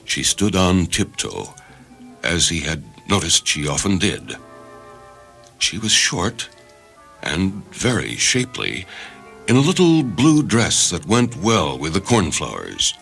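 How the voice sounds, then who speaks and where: A man narrates a short story in a dramatic, scripted style. His voice is fairly low with very varied intonation. Soft music plays faintly behind him. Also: sing-song, takes it slowly, vocal fry.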